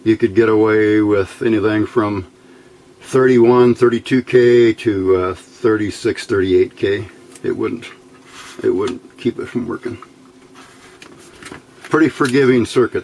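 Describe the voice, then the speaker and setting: A man's voice: on the low side, darkish tone, a little hiss or hum, low-quality recording. A man giving an informal hands-on electronics tutorial, thinking aloud as he works. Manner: unscripted, thinking aloud while working. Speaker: electronics hobbyist instructor.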